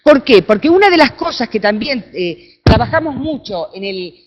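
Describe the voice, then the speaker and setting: A woman with an Argentine accent giving an academic talk at a conference, often checking in with her audience through quick tag questions. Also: on the high side, dull audio. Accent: Argentine Spanish. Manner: animated, with frequent tag questions. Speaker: conference speaker. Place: conference room.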